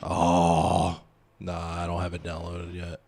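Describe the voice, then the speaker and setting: A man's voice: low voice, completely flat delivery, slow pace, gravelly, darkish tone. A man streaming a video game, chatting casually and rambling to his viewers and a friend. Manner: laid-back, rambling, talks to chat and a friend. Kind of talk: casual gaming stream chat.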